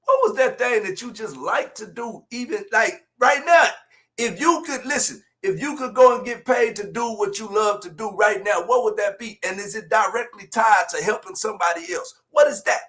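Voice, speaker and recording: A man in his forties delivers motivational preaching in a very high, sharp voice. He speaks straight to his listeners in plain colloquial talk, repeating key lines for emphasis and swinging between quieter asides and shouts. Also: lively intonation, normal speed. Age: 40s. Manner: colloquial, direct address to listeners. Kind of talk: fiery motivational preaching on a livestream.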